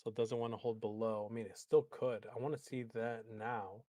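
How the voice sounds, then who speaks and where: A man's voice: lowish voice, level tone, brisk, warm tone, dry recording, clean studio sound. A man hosting a live trading stream, talking through charts and chatting with an easy laugh. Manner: chatty, laughs easily, thinks aloud. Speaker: host trader.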